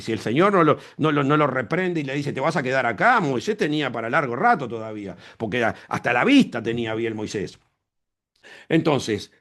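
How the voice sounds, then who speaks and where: A man preaching a sermon as pastor, emphatic, repeating phrases and asking rhetorical questions. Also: mid-range voice.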